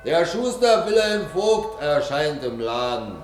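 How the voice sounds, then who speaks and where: Male narrator, 1950s radio drama; high, measured, formal delivery in a roomy studio. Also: very animated. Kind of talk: formal scripted scene announcements. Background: music.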